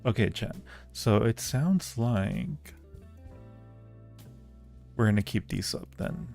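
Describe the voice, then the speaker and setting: A man's voice: fairly low pitch, slow pace, gravelly, a little mellow, soft background music. A man hosting a live-stream Q&A, answering viewer questions casually with a playful, teasing and flirty manner. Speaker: audio roleplay creator hosting a live Q&A.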